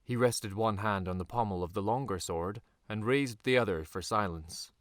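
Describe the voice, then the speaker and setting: A man reading a dark fantasy audiobook in a measured, dramatic storytelling manner with deliberate pauses. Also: on the low side, dry recording, studio quality. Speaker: author reading his own novel. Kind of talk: scripted audiobook narration.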